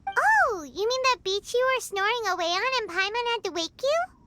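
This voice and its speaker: Squeaky tiny fairy kid for a game, cheeky, super high, bouncy pitch. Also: female voice, big pitch swings, moderate pace, background noise. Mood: teasing. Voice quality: squeaky, childlike. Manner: refers to self in third person. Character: tiny childlike female floating fairy companion, cheeky and chirpy. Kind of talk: video game character acting.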